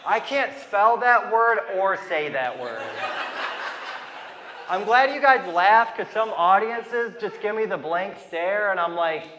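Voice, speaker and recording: A man's voice: sits high, lively intonation, slow pace, bright, forceful tone, big-room sound, a little hiss or hum, poor audio. A man in his thirties from Ohio with a lisp, doing a stand-up comedy set in a loose, rambling way with asides to the crowd. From Toledo, Ohio, US. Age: 30s. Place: comedy venue with a live audience.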